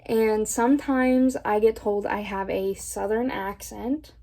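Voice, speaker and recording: Mellow young woman from Indiana. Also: mid-range voice, steady tone, slow pace. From Indiana, USA. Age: young adult. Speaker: college student. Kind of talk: scripted vlog talk.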